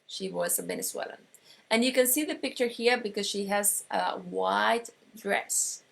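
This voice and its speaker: Slow, gravelly Argentine woman teaching. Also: medium pitch, a bit bright. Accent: Argentine Spanish. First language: Spanish. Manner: slow, explanatory, with pauses and restarts. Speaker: metaphysics teacher. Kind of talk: online course lecture.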